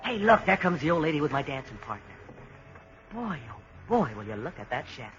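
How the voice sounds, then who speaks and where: A man's voice: fairly high pitch, big pitch swings, brisk. An actor playing a cocky young tourist in a 1940s radio drama. He wisecracks in slangy, brash talk. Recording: lo-fi 1940s radio broadcast with faint hiss.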